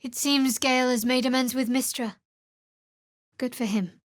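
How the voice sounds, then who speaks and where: A secretive dark cleric girl from a video game, dry and guarded, super close clean sound. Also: high voice, fairly even intonation, unhurried, dry recording. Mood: somber, confiding. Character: guarded young female cleric of a dark goddess, wry, secretive.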